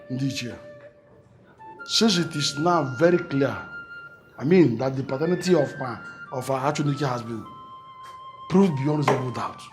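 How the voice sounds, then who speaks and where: A man's voice: medium pitch, lively intonation, measured pace, pauses often, a little mellow, faint music behind. An actor playing a formal, self-serving man who addresses village elders and claims the crown. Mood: scheming. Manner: ceremonial, formal speechmaking.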